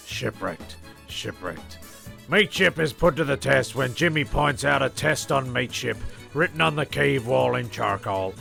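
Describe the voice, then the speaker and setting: A man playing a wry storyteller, reading scripted comic narration in a deadpan manner. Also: mid-range voice, vocal fry, exaggerated voice. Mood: mock-solemn.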